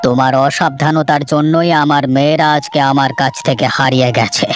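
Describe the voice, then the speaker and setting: Man voicing a bitter, scornful, higher-pitched cartoon father. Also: fairly even intonation, a little fast, natural voice. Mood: scornful anger. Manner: harsh, accusing. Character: bitter, scornful father blaming his wife. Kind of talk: cartoon melodrama dialogue. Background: background music.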